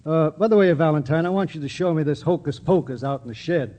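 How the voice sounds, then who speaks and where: A man's voice: fairly high pitch, quick pace, mellow, close to an everyday voice. An actor playing a plain-spoken, shrewd male small-town constable in a 1940s radio drama. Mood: shrewd, official.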